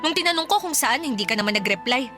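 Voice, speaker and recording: Animated, high-pitched exasperated young Filipina. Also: female voice, quick pace, music in the background. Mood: annoyed. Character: frustrated young working woman nagging her live-in boyfriend. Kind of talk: Filipino radio drama acting.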